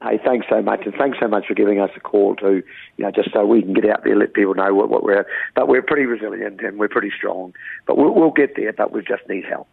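A New Zealand man speaking as a town mayor in a phone interview, with a croaky voice and an earnest, rambling way of talking that restarts words. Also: fairly low pitch, fast talker, no room sound. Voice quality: croaky, gravelly voice. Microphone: phone line. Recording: tinny phone line, dry.

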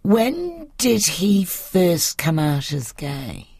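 A woman's voice: lowish voice, big pitch swings, very slow, a little mellow, slightly rough, no echo, studio quality. A woman interviewing a guest in a conversational way.

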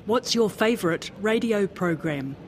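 A woman's voice: mid-range voice, expressive, measured pace. A woman with a New Zealand accent, a radio presenter interviewing and narrating.

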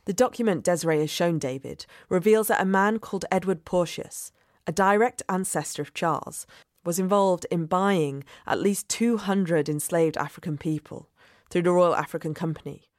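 A woman reporter and podcast host giving steady, clear scripted narration. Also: fairly low pitch, moderate pace, no room sound, clean studio sound.

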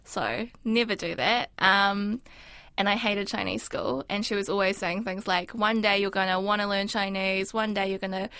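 A woman's voice: medium pitch, normal speed, pushed and bright, clean tone, dry recording. A woman, whose was Mandarin, talking thoughtfully in an interview with frequent ums. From New Zealand. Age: 20s. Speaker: interview guest. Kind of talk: casual reflective interview talk.

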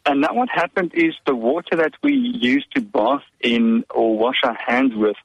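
A man's voice: medium pitch, big pitch swings, normal speed, creaky, slightly rough, no echo. A man calling in to a radio show, describing a problem hesitantly over the phone. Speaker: caller. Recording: lo-fi phone line.

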